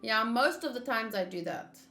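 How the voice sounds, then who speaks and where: A woman's voice: on the high side, animated, moderate pace, sharp tone. A woman chatting informally on a gaming livestream, reacting aloud as she talks. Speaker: streamer. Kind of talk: casual livestream chat while gaming.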